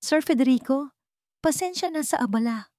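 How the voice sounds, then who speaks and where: A woman's voice: high-pitched, animated, moderate pace, mellow, dry recording, studio quality, voice pushed up. A woman voicing a worried young female friend in a story, tearful and politely deferential. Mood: tearful, anxious.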